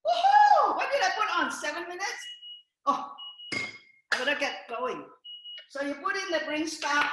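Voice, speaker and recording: A high-voiced older woman cooks live on a video call and jokes her way through it at an unhurried pace. Her voice echoes around the room, and noise runs underneath it the whole time. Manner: cheeky, joking, playful. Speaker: guest cook. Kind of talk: live cooking demo over video call.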